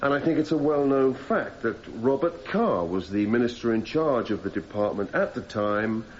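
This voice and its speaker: An actor playing a persistent, formal defence barrister cross-examining a witness in a radio courtroom drama, putting methodical leading questions. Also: male voice, medium pitch, expressive, moderate pace, natural voice. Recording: slightly muffled, noisy radio drama recording.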